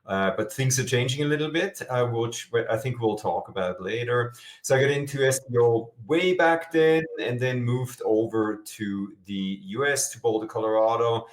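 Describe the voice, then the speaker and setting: A man's voice: on the low side, echoey room. A middle-aged man from Switzerland, a guest explaining his work in an interview with eager, filler-dotted answers. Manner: enthusiastic, explanatory, with frequent "uh" fillers. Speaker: guest, SEO expert.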